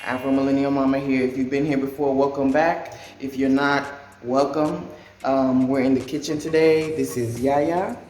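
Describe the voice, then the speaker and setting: A woman's voice: extremely low pitch, steady tone, normal speed, a little mellow, slight background noise. A woman in her thirties hosting a casual cooking vlog from her home kitchen, talking in a chatty, rambling way with restarts. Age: 30s. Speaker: vlogger and mother. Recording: echoey kitchen.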